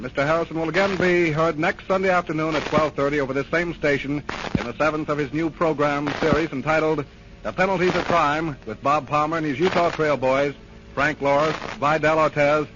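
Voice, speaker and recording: A man announcing on radio in a formal, measured, scripted 1930s style. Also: a little high. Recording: noisy, dull 1930s radio recording.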